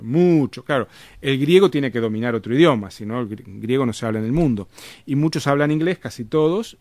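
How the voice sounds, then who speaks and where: A man's voice: mid-range voice, darkish tone, no room sound. Rambling Argentine man, dry close sound. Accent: Argentine Spanish. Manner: rambling, with frequent restarts and tag questions. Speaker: interviewed author. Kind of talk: casual conversational storytelling.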